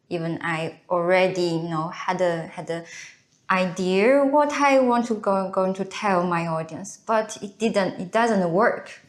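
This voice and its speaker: A native Chinese speaker, this woman co-hosts a casual chat in Chinese-accented English, haltingly searching for her words. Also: fairly low pitch. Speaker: co-host, video creator.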